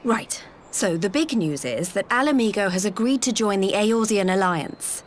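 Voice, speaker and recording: An actress playing an earnest, friendly young envoy in a fantasy video game, speaking in a plain, informal way. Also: female voice, mid-range voice, lively intonation, moderate pace, a little hiss or hum, natural voice. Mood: earnest, hopeful. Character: earnest, friendly young woman, rebel turned alliance envoy. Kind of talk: scripted fantasy game cutscene acting. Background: prominent orchestral game music.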